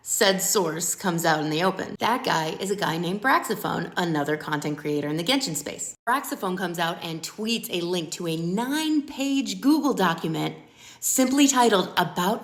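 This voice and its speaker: A woman's voice: on the low side, gravelly, roomy sound. A woman giving a casual, blunt and sweary recap of online drama, with a wry way of talking. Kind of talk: casual commentary to camera, story recap.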